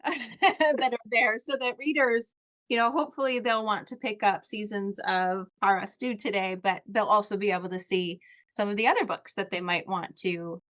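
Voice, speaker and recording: A woman's voice: mid-range voice, fairly bright tone. A woman hosting a children's book podcast, speaking effusively and enthusiastically to her guest. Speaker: podcast host. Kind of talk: casual interview.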